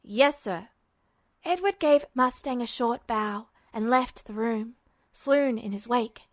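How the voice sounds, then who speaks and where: A woman reading fanfiction aloud as podfic narration in a steady, even manner. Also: on the high side, measured pace, no echo. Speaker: fanfiction reader.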